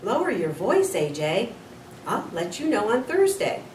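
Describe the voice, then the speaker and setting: A woman's voice: mid-range voice, big pitch swings, measured pace, a little mellow. A woman voicing a stern, easily irritated female schoolteacher with a scolding manner in a storybook reading. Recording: roomy, distant mic with faint noise.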